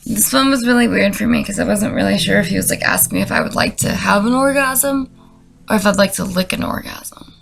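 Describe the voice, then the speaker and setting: A woman giving deadpan comedic commentary and dramatic readings, rambling through sarcastic, incredulous asides. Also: mid-range voice, a little fast, creaky, slight background noise. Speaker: comedy video creator. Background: music.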